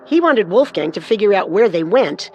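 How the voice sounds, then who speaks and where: A woman co-narrating a scripted true-crime story with measured, dramatic delivery. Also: medium pitch, expressive, faint music behind.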